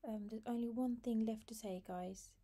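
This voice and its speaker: Woman, cooking vlog host, casual voice-over, gravelly fry, very dark tone. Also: fairly high pitch, steady tone, normal speed. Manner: chatty, talks viewers through steps with fillers.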